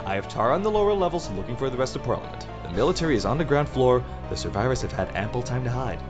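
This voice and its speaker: Man acting young tyrant president, gleeful menace, mock-friendly sarcasm, animated swooping pitch, audio drama, music underneath. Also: very fast, close to an everyday voice. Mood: smug menace.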